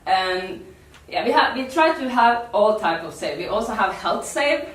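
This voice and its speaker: A woman from Norway with a Norwegian accent gives an informal workshop talk in non-native English, halting and repeating words. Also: medium pitch, expressive, fairly bright tone. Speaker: activist workshop speaker. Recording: echoey room, distant mic.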